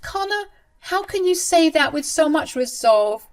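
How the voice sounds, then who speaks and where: A woman voicing a scheming, self-pitying older mother-in-law in a high, shrill, pleading voice. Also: lively intonation, a little slow. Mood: indignant.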